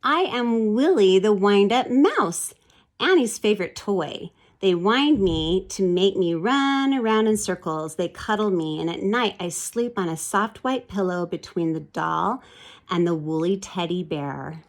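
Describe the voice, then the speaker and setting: A woman's voice: mid-range voice, animated, a little slow. Woman voicing a proud wind-up toy mouse. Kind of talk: storybook read-aloud character.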